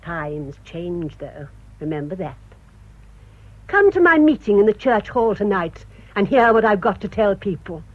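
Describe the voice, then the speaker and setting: An actress playing a proud, bitter older English spinster in a radio drama, with indignant, cutting rhetoric. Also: female voice, mid-range voice, sing-song, a little slow, highs cut off. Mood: menacing. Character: bitter, proud spinster nursing old family grudges.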